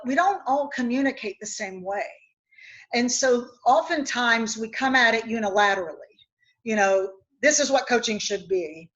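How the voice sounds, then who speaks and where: Woman, coach and webinar guest, earnest, illustrates with stories; slightly high voice, muffled call audio. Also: fairly bright tone. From West Virginia, USA. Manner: earnest, explains with anecdotes. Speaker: guest speaker, executive coach. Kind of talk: webinar discussion.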